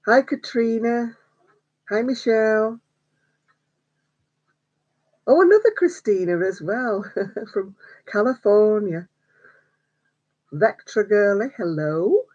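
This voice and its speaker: A woman's voice: mid-range voice, darkish tone. An older woman hosting a casual live stream, chatty and greeting viewers by name between little laughs. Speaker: crochet vlogger. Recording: slightly dull home stream audio.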